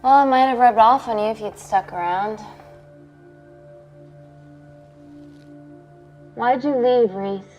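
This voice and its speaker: An actress playing an earnest, hurt but resolute scientist, restrained and naturalistic in a film drama. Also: female voice, fairly high pitch, moderate pace, dull audio, faint music behind, close to an everyday voice. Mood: hurt, reproachful.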